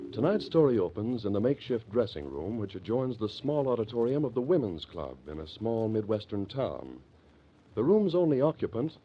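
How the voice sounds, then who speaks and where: A man with an American accent announcing and narrating a vintage radio mystery in a theatrical, emphatic style. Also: medium pitch. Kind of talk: 1940s radio announcing, dramatic scripted narration. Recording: muffled old radio, music behind.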